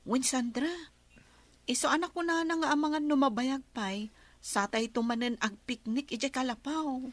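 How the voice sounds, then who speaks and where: Very high-voiced cheerful young daughter played by a woman. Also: moderate pace, no echo, lightly acted. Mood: confiding. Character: gentle, cheerful young daughter and confiding friend. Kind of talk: Ilocano radio drama acting.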